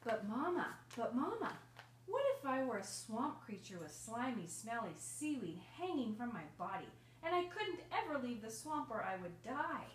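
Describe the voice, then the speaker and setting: A woman's voice: on the high side, big pitch swings, normal speed. A woman voicing a small, imaginative storybook child who asks questions in an eager, insistent way. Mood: pleading, curious. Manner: eager, pleading, exaggerated questioning. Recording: roomy, distant mic, faint noise.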